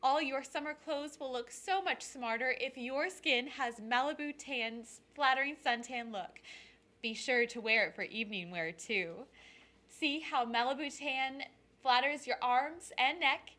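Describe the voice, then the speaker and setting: A glamorous cosmetics saleswoman acted by a woman in a vintage radio commercial. The voice is high and bright, gushing over every carefully enunciated phrase. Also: expressive, a little slow, heavily acted. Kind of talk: 1940s radio advertisement.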